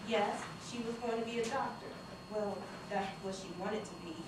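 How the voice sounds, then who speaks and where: An actress reading the part of a high school senior whose friend was shot, at a table read. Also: female voice, steady tone, normal speed, natural voice. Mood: sad. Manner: pleading, tearful line reading. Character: teen girl pleading over her dying friend. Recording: echoey room from far off with noise.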